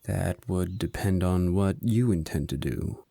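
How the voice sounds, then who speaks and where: A man's voice: sits low, unhurried, creaky, dark tone, no room sound, clean studio sound, exaggerated voice. A man playing a reserved, dutiful knight in a fantasy audio drama, terse, guarded and formal. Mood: shy, awkward. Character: reserved, dutiful male knight, shyly devoted.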